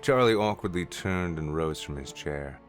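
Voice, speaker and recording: A man's voice: low-pitched, animated, moderate pace, soft background music. A man narrating a scripted story in the third person.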